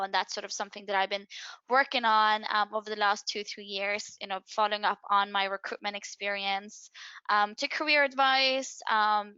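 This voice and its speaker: A woman's voice: mid-range voice, pushed and bright, smooth, no echo. A woman with a Swedish accent co-hosting a webinar as a career advisor, talking conversationally with frequent ums. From Sweden. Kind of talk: webinar presentation. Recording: muffled dry lo-fi webinar audio.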